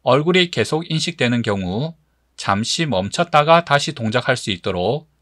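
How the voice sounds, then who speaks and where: A man's voice: mid-range voice, lively intonation, fairly bright tone, no room sound. Man narrating a tutorial, dry close sound. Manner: measured step-by-step explaining. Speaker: instructor.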